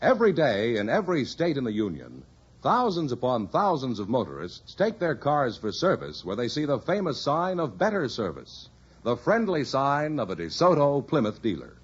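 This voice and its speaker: American man, vintage radio announcer, lively swooping pitch, polished sales pitch, dull old recording. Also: moderate pace, slightly rough. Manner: polished, emphatic sales delivery. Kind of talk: scripted 1950s radio announcing and sponsor ads.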